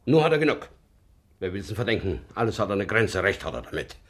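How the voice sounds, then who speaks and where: A man's voice: lowish voice, big pitch swings, a little fast, a bit bright. An actor playing a stern, pious old father in a radio play, exasperated and reproachful. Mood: bitter reproach. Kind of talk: naturalistic radio play acting.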